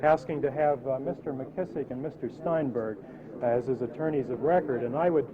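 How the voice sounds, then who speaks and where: A man with an American accent, a defense attorney answering press questions in a halting, informal way. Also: medium pitch. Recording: tinny archival newsreel, crowd behind.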